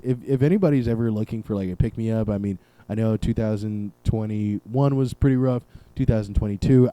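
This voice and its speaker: A man's voice: medium pitch, mellow, no echo. A young man hosting his own podcast alone, riffing in a sarcastic, rambling way with plenty of profanity. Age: young adult. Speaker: podcast host. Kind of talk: solo comedic podcast rant. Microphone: camera and podcast mic.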